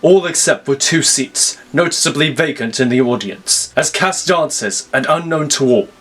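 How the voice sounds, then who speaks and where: A man's voice: medium pitch, moderate pace, a bit bright. A man reading scripted superhero-style story narration in a grave, theatrical manner. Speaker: narrator of fan audio stories.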